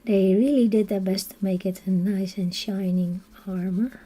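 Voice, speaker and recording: A woman with a Dutch accent chatting casually as she colors for a live stream, soft-spoken and unhurried, now and then dropping to a quiet half-whisper. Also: medium pitch, fairly even intonation, slightly rough. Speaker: coloring channel host.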